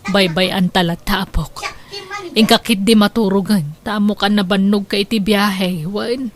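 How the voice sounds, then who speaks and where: A woman's voice: mid-range voice, steady tone, moderate pace, slight background noise, lightly acted. A woman playing a long-suffering elderly grandmother in a radio drama, soothing, sorrowful and pleading. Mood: weary, concerned. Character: gentle, long-suffering elderly grandmother caring for her troubled daughter.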